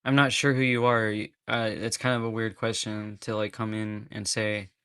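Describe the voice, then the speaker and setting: A man's voice: lowish voice, level tone, normal speed, fairly bright tone, clear, resonant tone, dry recording, studio quality. A man from California chatting casually on a livestream, rambling and breaking into nervous laughs. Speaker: streamer.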